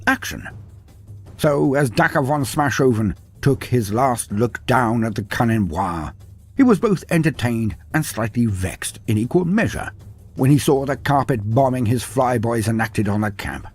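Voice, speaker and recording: A man's voice: medium pitch, animated, a little slow, husky. A man giving a dramatic, grandiose scripted narration in the manner of fantasy storytelling. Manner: theatrical, grandiose. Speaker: narrator of fantasy lore videos. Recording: background music under the voice. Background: music.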